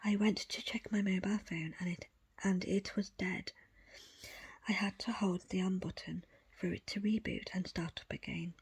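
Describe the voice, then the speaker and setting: Breathy whispering woman reading a book. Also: medium pitch, fairly even intonation. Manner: hushed, deliberate phrasing in short pieces. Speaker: audiobook reader.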